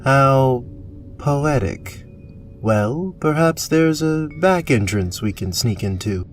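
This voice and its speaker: A man's voice: mid-range voice, expressive, takes it slowly, faint music behind. A man playing a grave, determined knight in a fantasy audio drama, with formal, terse delivery. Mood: dry. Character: grave, determined knightly captain from a suffering land.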